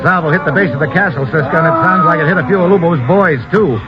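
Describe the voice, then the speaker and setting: An actor playing a tough American adventure hero in old-time radio style, with clipped, slangy, wisecracking delivery and an American accent. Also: male voice, fairly high pitch, fast talker, over loud music, natural voice. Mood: wry, relieved. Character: tough, quick-thinking American hero, wry and commanding. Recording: noisy, muffled old radio recording.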